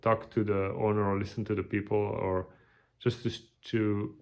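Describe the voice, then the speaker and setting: A tired man, giving a halting, casual video update with many restarts. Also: fairly low pitch, level tone, darkish tone. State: tired, burned out. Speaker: vlogger.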